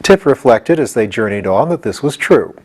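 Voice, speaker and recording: Man reading a storybook, lively intonation. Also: medium pitch, normal speed. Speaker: audiobook reader. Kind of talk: scripted storybook narration.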